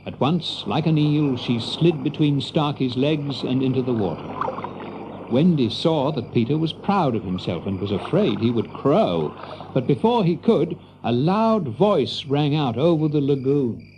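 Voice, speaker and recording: A man's voice: mid-range voice, sing-song, unhurried, noisy background. A man narrating a children's story in a dramatic storybook style. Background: music.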